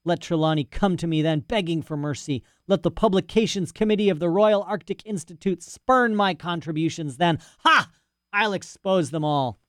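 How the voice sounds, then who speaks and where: Man doing an aggrieved, boastful old academic, pitched up a touch, rambling. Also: normal speed, hardly any pauses, no room sound, clean studio sound, lightly acted. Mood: indignant. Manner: boastful, ranting, rhetorical "eh?" asides. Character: old imprisoned male professor, indignant and rambling. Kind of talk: audiobook character voice.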